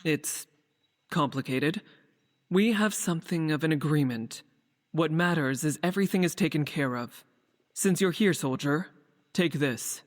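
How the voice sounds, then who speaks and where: A man plays a secretive fantasy game leader. The voice is fairly high for a man, calm, deliberate and evasive, ringing in a long hall-like reverb. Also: close to an everyday voice. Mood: guarded, calm. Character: guarded, secretive leader of a hidden group.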